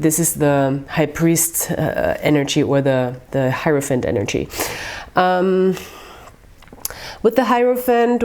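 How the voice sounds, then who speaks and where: A woman with a cold and a stuffy nose, giving a casual, unhurried tarot reading with many pauses and fillers. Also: on the low side. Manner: dreamy, unhurried, lots of ums, warm reassurance. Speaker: tarot reader.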